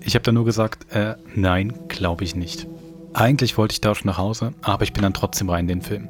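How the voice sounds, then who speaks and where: A man hosts a podcast in a fairly low voice. He presents and reads aloud conversationally. Music plays clearly behind him. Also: steady tone, brisk. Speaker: podcast host. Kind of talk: podcast presenting, reading aloud a listener's letter. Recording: music underneath.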